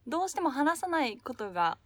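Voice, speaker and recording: A woman's voice: high voice, big pitch swings, fast talker, dry recording, studio quality. A young woman co-hosting a podcast, talking in a casual chat among friends. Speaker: podcast co-host.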